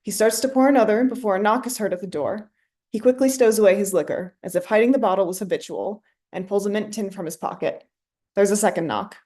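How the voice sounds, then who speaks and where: A woman narrates a play script, reading out scene headings and stage directions. Her voice sits at a middle pitch, in a plainly read, scripted style. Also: fast, a little mellow. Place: remote online staged reading.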